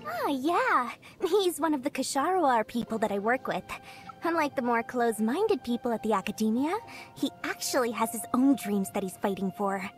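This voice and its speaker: A woman's voice: on the high side, lively intonation, moderate pace, faint music behind, lightly acted. A woman voicing a greedy, boastful little girl merchant in a video game, theatrical and self-important. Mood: smug, boastful. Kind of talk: video game character voice line.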